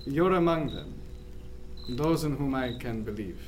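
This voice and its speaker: A thoughtful, guarded but tender ship's surgeon played by a man in a radio drama. The voice is mid-pitched with formal, deliberate phrasing. Crickets and night ambience are clearly heard behind it. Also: animated, noisy background, natural voice. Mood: earnest.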